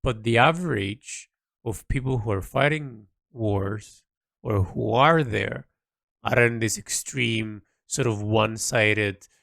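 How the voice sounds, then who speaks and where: A man recording an unscripted, reflective audio log, speaking in a halting, rambling way as he thinks aloud and repeats himself. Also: fairly low pitch, vocal fry, a bit bright, dry recording. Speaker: audio log diarist, creative hobbyist.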